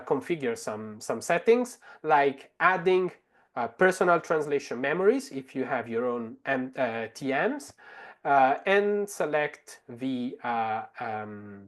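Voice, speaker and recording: A man from Italy, a native Italian speaker with an Italian accent, presents a live software demonstration in a halting, explanatory way. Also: mid-range voice. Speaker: webinar presenter, translator.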